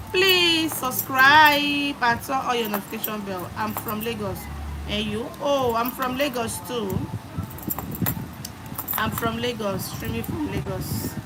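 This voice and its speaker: A young adult woman with a Nigerian accent, live streaming and chatting with viewers, greeting them by name and repeating her phrases. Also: fairly high pitch. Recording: loud background noise.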